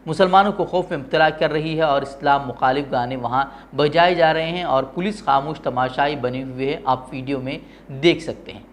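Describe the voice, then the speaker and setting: A man's voice: on the high side, normal speed, a little hiss or hum. A man presenting opinionated news with formal, literary diction. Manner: formal Urdu-heavy diction.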